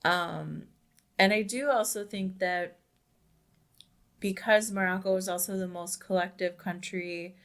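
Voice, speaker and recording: A young woman with a slightly low voice co-hosts a conversational podcast. She speaks with emphasis and peppers her sentences with "like" as she talks things through in a casual way. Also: fairly even intonation, unhurried, pauses often, fairly bright tone. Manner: emphatic, says "like" very often. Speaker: podcast co-host. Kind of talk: casual discussion.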